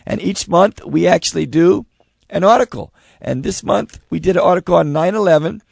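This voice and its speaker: A man hosting a live radio talk show, loud and excitable, losing his voice. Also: high voice, normal speed, vocal fry, slightly rough, no echo. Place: radio studio with live audience.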